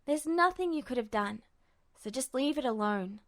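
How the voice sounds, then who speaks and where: A woman's voice: high-pitched, lively intonation, moderate pace. A woman voicing an exhausted, guarded younger male patient in an audiobook story, curt, bitter and dismissive.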